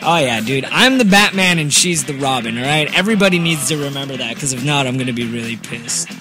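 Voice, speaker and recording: A man's voice: mid-range voice, animated, fast talker, music in the background. A man in his twenties hosting a podcast in a slangy, joking, casual chat style. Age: 20s. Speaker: podcast host.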